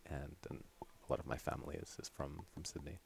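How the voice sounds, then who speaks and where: A man's voice: very deep voice, fairly even intonation, a little fast, vocal fry, a little hiss or hum. A man with a Catalan accent, whose first language is Catalan, speaking as a guest scientist in a casual interview, hesitant and often repeating words as he restarts. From Catalonia, Spain.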